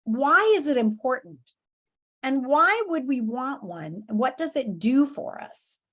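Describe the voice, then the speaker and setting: A woman's voice: high-pitched, lively intonation, unhurried, a bit bright. A woman teacher reading a story aloud and giving lesson instructions to pupils, expressively and directly.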